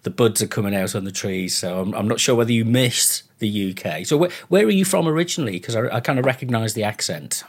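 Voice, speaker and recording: A man's voice: lowish voice, lively intonation, fast, few pauses, husky. A man from Liverpool with a Northern English accent, hosting a conversational podcast interview, sometimes hesitant and repeating words as he goes. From Liverpool, England. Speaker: podcast host.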